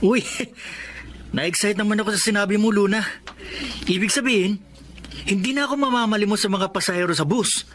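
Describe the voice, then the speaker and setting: A man's voice: high-pitched, fairly quick, pauses often, fairly bright tone, a little hiss or hum. A man playing an eager, hopeful poor young man in a Filipino radio drama. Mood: excited. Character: eager, hopeful poor young beggar, Luna's friend Ryan.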